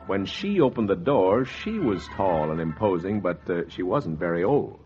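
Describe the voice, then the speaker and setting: An actor playing a wry, scheming fur speculator in a 1940s radio drama, with a hardboiled storytelling style. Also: male voice, low-pitched, very animated, moderate pace, a little mellow. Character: slick, wry, scheming fur speculator telling his tale. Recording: dull 1940s radio recording, music behind.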